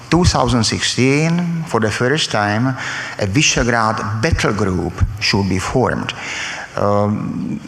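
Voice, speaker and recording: A man from Slovakia with a Slovak accent speaks on a conference panel, halting and full of filler sounds and restarts. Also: on the low side, a bit bright. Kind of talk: academic panel talk. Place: conference hall. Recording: echoey hall recording.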